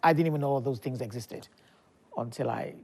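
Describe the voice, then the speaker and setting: Woman, 50s, from Nigeria, pastor guest; deep voice, chatty, laughs easily, restarts often. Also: normal speed, creaky, warm tone, breathy edge. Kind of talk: casual interview talk.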